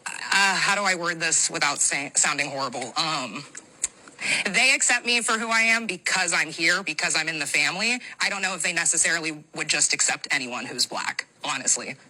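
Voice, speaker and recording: A woman's voice: sits low, lively intonation, normal speed, sharp tone, poor audio. An American woman sharing a personal account in a panel discussion, choosing her words carefully and a little hesitantly. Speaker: debate show participant.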